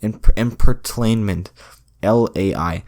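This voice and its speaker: A young man hosting a solo podcast, rambling through deadpan, self-deprecating jokes with frequent restarts. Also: on the low side, slow. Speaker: podcast host.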